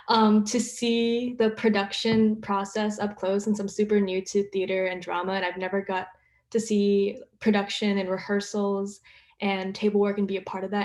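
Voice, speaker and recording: A young woman introducing herself casually as a student playwright on a panel. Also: mid-range voice.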